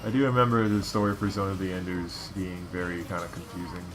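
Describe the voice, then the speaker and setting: A man's voice: sits low, level tone, fast, music in the background. A man giving casual game commentary, chatty and rambling as he explains things. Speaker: let's play co-commentator. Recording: noticeable background noise.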